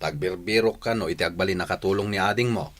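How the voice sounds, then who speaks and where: A man's voice: deep voice, fast, fairly bright tone, a little hiss or hum. A man playing a lazy, jealous village husband in a radio drama, with a scolding, demanding manner. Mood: resentful, blunt. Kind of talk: Ilocano radio drama acting.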